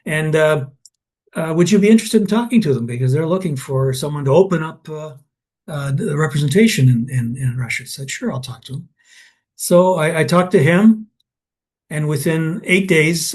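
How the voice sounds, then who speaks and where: A man's voice: on the high side, moderate pace, a little mellow. Older canadian guy telling career stories, lots of uh and restarts. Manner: rambling, anecdotal, many restarts and fillers. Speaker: interviewee. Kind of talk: oral history interview.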